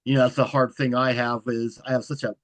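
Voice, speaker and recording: A man talks as a podcast guest in an easygoing, level delivery that he calls monotone. His voice sits at a middling pitch. The sound is close and dry, with no room around it. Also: fairly quick, dry recording. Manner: self-described monotone, even delivery. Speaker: guest, horror content creator. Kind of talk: casual interview chat.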